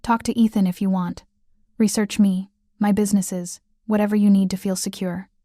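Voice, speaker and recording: A woman reading a calm, commanding mafia boss. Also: medium pitch, moderate pace, no echo, clean studio sound, natural voice.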